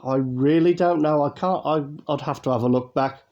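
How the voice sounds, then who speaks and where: A man's voice: a little high, normal speed, darkish tone, dull audio. Nasal man with a cold. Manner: chatty, rambling, many fillers and restarts. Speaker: YouTube unboxing host. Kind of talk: casual unboxing commentary.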